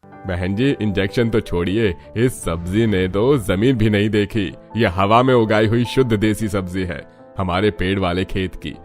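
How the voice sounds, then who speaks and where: A man voicing an older village father in a cartoon, gruff but kind, with a low steady voice. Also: unhurried, soft background music, lightly acted. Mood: boasting.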